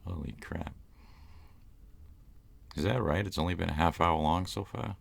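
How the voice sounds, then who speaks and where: A man's voice: sits low, normal speed, vocal fry. A man with a Boston accent, hosting a live stream in loose, rambling casual chat. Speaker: ASMR channel host.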